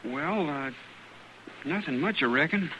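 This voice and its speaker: An actor playing a hesitant, nearly grown farm boy in an old-time radio western, with halting, folksy speech. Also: on the high side, animated, pushed and bright. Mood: shy, hesitant. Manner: halting, shy, folksy drawn-out talk. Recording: dull, noisy old radio recording.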